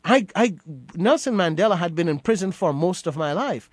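A man's voice: high voice, lively intonation, normal speed, dry recording. A middle-aged man from Guyana hosting a radio program, speaking earnestly and often restarting his phrases. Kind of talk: reflective on-air commentary.

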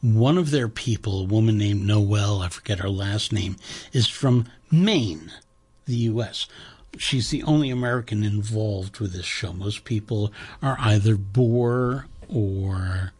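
A man hosting a radio talk show, chatting in a rambling, enthusiastic way and making a pledge-drive pitch from the studio. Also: a little slow, a little mellow, breathy edge.

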